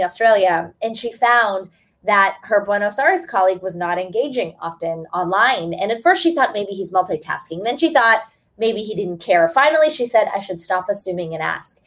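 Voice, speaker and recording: A woman author speaking as a guest in a webinar, explaining earnestly and restarting phrases now and then. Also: mid-range voice. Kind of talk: webinar talk.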